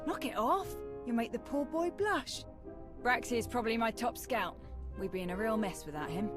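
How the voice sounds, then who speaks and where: Actress as a wry female military major, loud music. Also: on the high side, expressive, normal speed, close to an everyday voice. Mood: teasing. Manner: dry, sardonic, informal clipped phrasing. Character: wry, battle-hardened female Imperial major, teasing and brisk. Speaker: military officer character in a video game. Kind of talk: video game voice acting. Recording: loud game music behind.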